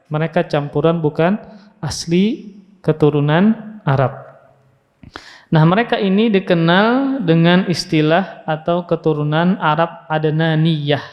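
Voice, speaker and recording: Male Islamic lecturer, fairly high voice, instructive and repetitive for emphasis, muffled long-reverb hall sound. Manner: didactic, repeats key phrases for emphasis. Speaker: Islamic preacher and teacher. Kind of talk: religious lecture with Arabic quotations.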